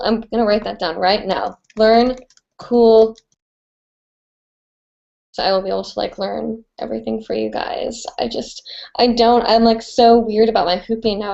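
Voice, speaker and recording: A woman's voice: mid-range voice, a little mellow, muffled recording. A young woman with a stuffy, nasal voice, chatting casually and rambling as she answers viewers' hooping questions on a live stream. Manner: chatty, rambling, with many fillers.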